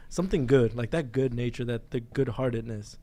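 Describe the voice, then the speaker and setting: Man, podcast host, warm dark tone, worn voice from getting sick, halting questions, soft hums. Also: medium pitch. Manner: halting, restarts often, many soft backchannel hums. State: losing his voice, getting sick. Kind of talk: casual interview chat.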